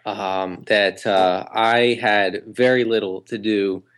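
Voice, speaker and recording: A man co-hosting a casual sports podcast, talking in a halting way full of ums and uhs, with dry jokes. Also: on the low side, steady tone, fairly bright tone, smooth. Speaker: podcast co-host.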